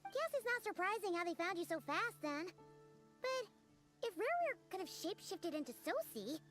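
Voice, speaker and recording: Tiny chirpy fairy sidekick, extremely high, swooping childlike voice, game dialogue with light music. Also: female voice, big pitch swings, moderate pace, fairly bright tone, heavily acted. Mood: puzzled. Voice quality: squeaky, childlike. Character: small, chirpy, childlike female fairy companion. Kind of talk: scripted video game voice acting.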